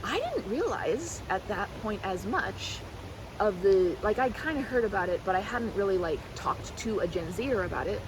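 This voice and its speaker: A woman's voice: medium pitch, expressive, normal speed, noisy background, close to an everyday voice. A woman playing a chatty, affectionate, self-deprecating best friend in a casual roleplay monologue, rambling and interrupting herself with tangents. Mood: rambling, amused.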